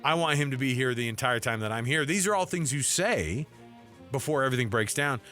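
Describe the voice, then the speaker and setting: A man's voice: medium pitch, animated, brisk, a bit bright, faint music behind. An American man co-hosting a sports talk show, bantering casually and reacting with sarcastic disbelief.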